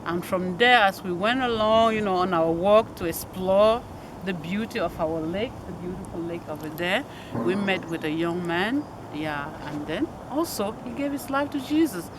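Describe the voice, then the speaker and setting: A Belgian woman missionary, a native French speaker, answering in English with halting speech and many restarts. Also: fairly low pitch, expressive. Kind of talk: casual interview answers. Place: outdoors by a lake. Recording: outdoor noise.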